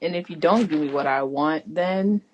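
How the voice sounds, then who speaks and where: A woman's voice: sits low, dull audio. A young woman with a stuffy cold, chatting casually on a podcast and filling her talk with "like" and "you know.". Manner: talks slightly oddly with retainers in; halting, full of "like, you know".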